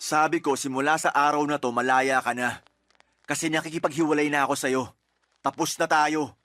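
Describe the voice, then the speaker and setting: A man's voice: a little high, steady tone, fairly quick, sharp tone. A man acting as a fed-up, resentful boyfriend in a Tagalog radio romance drama, emphatic and accusing. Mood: cold, resolved. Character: fed-up, resentful boyfriend breaking up with his girlfriend.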